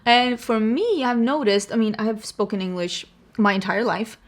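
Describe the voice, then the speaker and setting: A woman's voice: fairly high pitch, normal speed. A woman from Sweden with a Swedish accent, chatting casually through a makeup tutorial in a self-deprecating, laughing way. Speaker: beauty vlogger.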